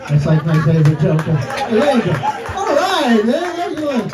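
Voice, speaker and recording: A man's voice: very high-pitched, wildly swooping pitch, normal speed, very noisy. A man in his thirties with a New York accent doing a profane stand-up set, constantly checking in with the crowd. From New York City. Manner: brash, profane, keeps asking the crowd "right?" and "guys". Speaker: stand-up comedian. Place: comedy club stage. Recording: roomy club sound from a distance. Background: audience laughter.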